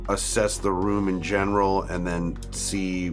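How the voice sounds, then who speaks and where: Dude playing a tabletop rpg, slightly deep voice, casual, bit of music under it. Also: male voice, flat delivery, a little slow. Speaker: player in a tabletop game. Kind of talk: casual game-table talk.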